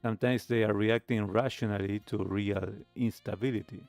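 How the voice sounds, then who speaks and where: A man hosting a talk show, giving reflective commentary in halting, deliberate phrases. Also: lowish voice, steady tone, creaky, a little mellow, soft background music.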